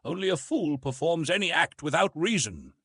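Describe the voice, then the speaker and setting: A fearless jungle-raised man among gentlemen voiced by a male audiobook narrator, dry and self-assured, choosing measured words. His voice has a husky grain and wide intonation, recorded close and dry. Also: on the high side, very animated, no room sound, pitched above the performer's own voice, full character voice. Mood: calm, resolute. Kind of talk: audiobook character dialogue.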